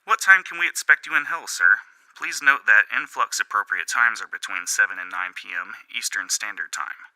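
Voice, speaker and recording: A man's voice: fairly low pitch, normal speed, pushed and bright, dry recording, pitched above the performer's own voice, low-quality recording. A man voicing a male collection-agency caller with scripted, sing-song customer-service politeness. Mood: officious, polite.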